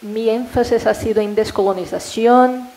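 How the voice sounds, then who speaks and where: A woman's voice: a little high, fairly even intonation, normal speed, dark tone, low-quality recording. A woman from Brazil whose first language is Portuguese, speaking Spanish with a Brazilian accent in a hesitant academic talk full of fillers and tag questions. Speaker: invited speaker at a university panel.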